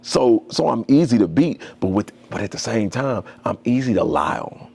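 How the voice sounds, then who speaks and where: A man's voice: medium pitch, big pitch swings, normal speed, gravelly, darkish tone, breathy edge. A young man, a tattoo artist, talking as a podcast guest in a loose, slangy, storytelling way. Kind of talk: casual conversation.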